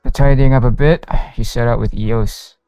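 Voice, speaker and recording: A man's voice: mid-range voice, fairly even intonation, normal speed, warm tone, clear, resonant tone. A man livestreaming a game, reading its dialogue and narration aloud with occasional stumbles. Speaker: game streamer. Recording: roomy, with faint game music behind.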